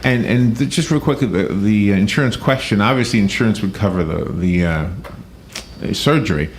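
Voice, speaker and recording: Brief: male radio host, lowish voice, casual live delivery with halting, repeated words. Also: slight background noise. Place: radio studio.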